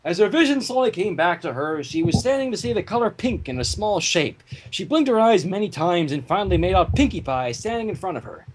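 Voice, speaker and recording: A woman narrating fan fiction in an animated, informal way, with dramatic story reading. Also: on the low side, fairly quick, hardly any pauses, poor audio.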